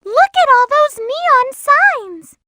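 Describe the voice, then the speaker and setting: A woman's voice: very high-pitched, sing-song, slow pace, pushed and bright, dry recording, clean studio sound, exaggerated voice. An actress playing a sweet, polite little girl rabbit in a video game, with a childlike manner. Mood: delighted. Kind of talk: video game character lines.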